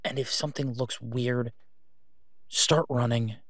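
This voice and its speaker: A man's voice: mid-range voice, fairly even intonation, a little slow, dry recording, studio quality. A man playing a protective, exasperated young vigilante hero in an audio roleplay, speaking earnestly and urgently. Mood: worried, urging. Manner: earnest, urging.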